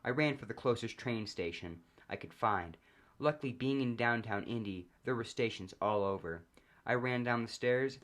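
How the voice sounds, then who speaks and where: A man reading a comedic first-person story aloud as narrator, in a deadpan manner. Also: medium pitch.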